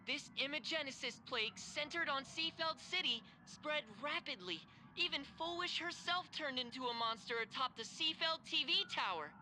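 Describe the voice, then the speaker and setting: Poofy, a very bright chirpy duck. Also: female voice, high voice, moderate pace, few pauses, a little hiss or hum. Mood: bright, formal. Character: Poofy, chirpy cartoon duck news host. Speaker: news segment host. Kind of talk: scripted news presenting.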